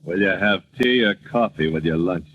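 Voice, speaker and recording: An actor playing a sinister butler, formal and deadpan, in an old-time radio comedy. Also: male voice, deep voice, moderate pace. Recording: very noisy, dull old radio recording.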